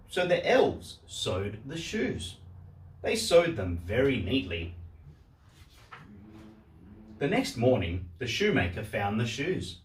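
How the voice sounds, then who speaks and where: Lively man reading a children's story, echoey. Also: mid-range voice. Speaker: storyteller, host of a story channel. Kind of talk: children's storybook read-aloud. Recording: roomy echo, distant microphone.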